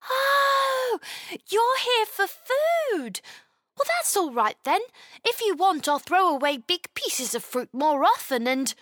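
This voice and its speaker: Woman as a high-pitched, excitable little girl. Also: takes it slowly, dry recording, studio quality, exaggerated voice. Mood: relieved, friendly. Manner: exclaiming, wide-eyed questioning. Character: curious, excitable little human girl, easily startled.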